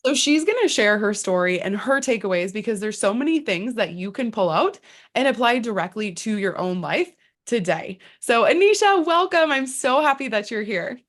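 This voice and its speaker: A woman's voice: fairly high pitch, expressive, moderate pace. A woman hosting a podcast interview, effusive and gushing as she introduces her guest and asks questions.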